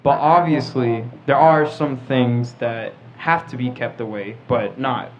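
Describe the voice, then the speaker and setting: A man's voice: mid-range voice, fairly even intonation, measured pace. A teenage American boy hosting a podcast in a casual group discussion. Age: teens. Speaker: podcast host. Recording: muffled lo-fi podcast with clear background noise.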